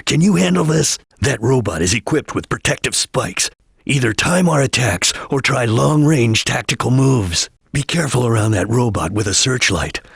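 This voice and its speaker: A husky video game chameleon ninja, serious and disciplined. His delivery is clipped and measured, yet the pitch moves around a good deal. The sound is close and dry, with no room around it. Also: a bit bright, dry recording, full character voice. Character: serious, disciplined male chameleon ninja detective, calm and watchful. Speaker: video game character giving hints. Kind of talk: video game voice acting, instructional hints.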